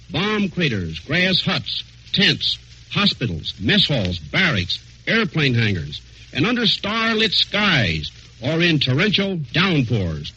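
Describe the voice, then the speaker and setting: An American man reads a formal military report in 1940s radio style. His voice is bright and sharp with lively intonation, heard through a muffled, faintly noisy old broadcast. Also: high voice. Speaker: army officer giving a report. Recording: dull, lightly noisy vintage radio recording.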